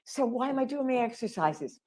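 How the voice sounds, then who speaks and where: Animated woman vocal coach, high voice. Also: brisk. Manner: animated, emphatic, calls listeners honey. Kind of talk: live stream talk.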